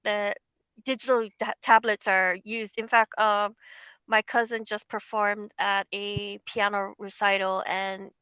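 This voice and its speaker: A woman's voice: medium pitch, little pitch movement, a little slow, pushed and bright, smooth, no echo. A woman in a casual group discussion, explaining things in an eager, agreeable way. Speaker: panelist, voice actor.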